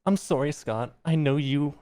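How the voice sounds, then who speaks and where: A man's voice: on the high side, normal speed, warm tone, clean tone, no echo, pitched above the performer's own voice, lightly acted. A man voicing a heartbroken, jealous young woman in a dramatic, accusing reading of fan fiction. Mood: sad, resolute.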